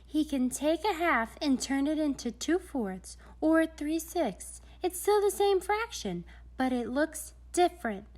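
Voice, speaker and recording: Woman acting storybook madame, high-pitched, knowing, dramatic, explanatory. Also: animated, a little slow, few pauses, a little mellow. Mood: explaining. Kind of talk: children's storybook read-aloud.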